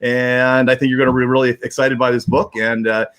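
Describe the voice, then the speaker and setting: A man's voice: medium pitch, little pitch movement, fairly quick, a bit bright, clear, resonant tone. A man hosting a livestream in casual, rambling talk, often repeating words as he goes. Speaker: host of a livestream. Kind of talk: casual chat.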